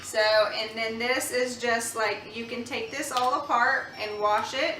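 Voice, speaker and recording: Brief: young mom vlogger, bright, chatty, earnest, recorded a bit off-mic in an echoey room. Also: female voice, on the high side, fairly even intonation, measured pace, far from the mic, soft background music. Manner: chatty, earnest, with many ums and restarts. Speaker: mom vlogger reviewing baby products. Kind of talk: casual product review chat. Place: home room.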